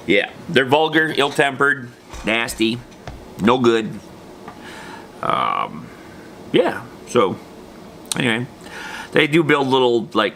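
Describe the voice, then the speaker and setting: A man's voice: fairly low pitch, gravelly, bright, forceful tone. A man presenting a casual, unscripted gaming explainer in an informal, slangy way, trailing off into loose asides. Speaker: presenter of a tabletop gaming video series. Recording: faint background noise.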